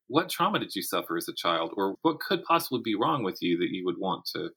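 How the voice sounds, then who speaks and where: An American man in his fifties answering interview questions conversationally, thinking aloud in a candid, animated way. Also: sits low, a little fast, creaky, breathy edge. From South Carolina, United States. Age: 50s. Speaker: interview guest, horror writer and teacher.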